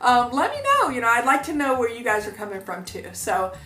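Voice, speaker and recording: A woman's voice: a little high, huge pitch swings, a little fast, pushed and bright, reverberant, faint music behind. A woman in her fifties vlogging in a chatty, upbeat way, full of fillers and asides to her viewers. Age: 50s. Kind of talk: casual talk to camera.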